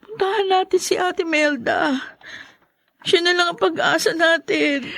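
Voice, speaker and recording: Young filipina daughter in radio drama, really high voice, distressed, dry close sound. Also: female voice, a little slow, gravelly, a bit bright, no room sound, exaggerated voice. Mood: tearful, hopeful. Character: distressed young daughter left homeless by disaster.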